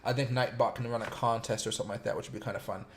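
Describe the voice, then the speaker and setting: A man hosting a casual crypto livestream, his voice a little sore and raspy from days of streaming, rambling with lots of fillers and greeting chat viewers by name. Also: medium pitch, steady tone, rapid-fire, slight background noise.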